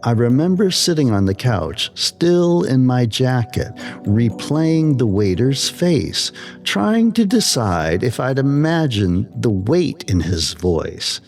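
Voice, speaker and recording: A man narrating a scripted slow-burn horror story in a measured way with dramatic pauses. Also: medium pitch, very animated, a little mellow, faint music behind.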